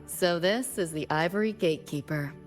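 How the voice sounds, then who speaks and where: A woman's voice: fairly low pitch, normal speed, music in the background, lightly acted. A woman playing a curt, guarded young cyberpunk operative in a game, speaking in terse, matter-of-fact lines. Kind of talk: animated game character dialogue.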